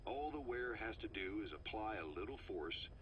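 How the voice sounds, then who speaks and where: An actor playing a middle-aged intelligence operative in a game's cassette tape, briefing in a measured, matter-of-fact way. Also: male voice, lowish voice, expressive, muffled recording, slight background noise, close to an everyday voice. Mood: dry, measured.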